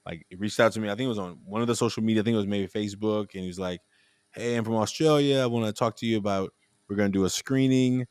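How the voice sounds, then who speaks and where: A man's voice: on the low side, smooth, no echo. Dry-sounding man telling a rambling story. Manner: rambling, restarts often, animated storytelling. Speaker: podcast host. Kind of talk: casual conversational interview.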